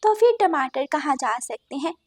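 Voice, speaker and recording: A woman voicing a gentle, homely mother bird in a cartoon, soft-spoken and kindly. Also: high-pitched, very animated, moderate pace, pitched above the performer's own voice. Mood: puzzled.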